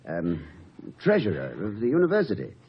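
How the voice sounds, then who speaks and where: Courtly college president, lively intonation. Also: male voice, lowish voice, fairly quick. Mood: hesitant, downcast. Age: middle-aged. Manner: hesitant, courtly, wordy. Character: dispirited middle-aged college president, gentle, wry and eloquent. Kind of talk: 1950s American radio comedy-drama acting. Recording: muffled, noisy old radio recording.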